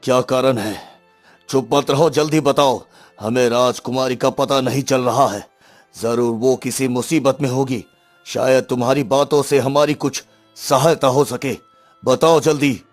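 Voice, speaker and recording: A man's voice: medium pitch, fairly even intonation, measured pace, soft background music, lightly acted. A man voicing an anxious middle-aged king and father in a cartoon story, speaking in a solemn, troubled way. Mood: anxious urgency. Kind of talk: Hindi cartoon story voice acting.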